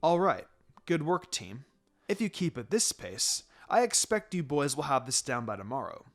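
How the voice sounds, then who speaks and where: A man voicing a calm, wry ninja teacher in a story reading, measured, firm but encouraging. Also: a little high, pitched below the performer's own voice. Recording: music behind the voice.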